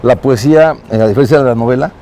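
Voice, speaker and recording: Hesitant man with a mellow voice. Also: medium pitch, fast talker, slight background noise. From Mexico. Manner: hesitant, many fillers and restarts. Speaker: consul. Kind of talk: street interview. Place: outdoors on a city street.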